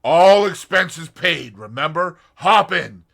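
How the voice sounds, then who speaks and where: Brief: man playing a brisk, cheery young fellow, raised and bright, lively. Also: a little high, creaky, breathy edge, voice pushed up, heavily acted. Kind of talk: audiobook character voice.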